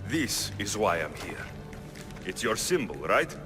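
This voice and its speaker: Self-assured, expressive mercenary man. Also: fairly low pitch, unhurried. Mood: matter-of-fact. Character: confident, wry male ancient Greek mercenary hero. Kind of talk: dramatic video game cutscene acting. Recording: reverberant hall, music behind.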